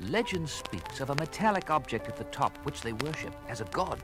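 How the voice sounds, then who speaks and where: An actor playing a learned, courteous ape scientist on the run in a 1970s audio adventure, with erudite, hesitant, formal speech. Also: male voice, medium pitch, sing-song, a little fast, close to an everyday voice. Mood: thoughtful. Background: dramatic music.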